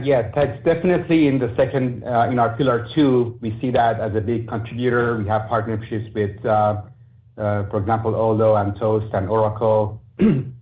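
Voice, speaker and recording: A man answering questions as a company executive on an earnings call, halting and full of hesitations. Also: fairly low pitch. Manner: halting, hesitant with frequent fillers. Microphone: conference call line. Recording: narrow phone-like conference line.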